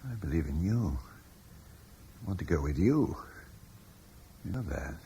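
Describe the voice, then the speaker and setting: Low, expressive, devoted soldier husband. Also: male voice, measured pace, gravelly, slightly rough, full character voice. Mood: solemn, devoted. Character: soldier husband in ancient times, humble, devoted, plain-spoken. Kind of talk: radio drama acting.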